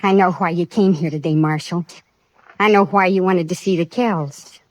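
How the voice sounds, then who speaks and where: A woman's voice: lowish voice, moderate pace, darkish tone, no echo, lightly acted. A woman playing a headstrong, defiant young frontier woman in a 1950s radio western, earnest and emphatic.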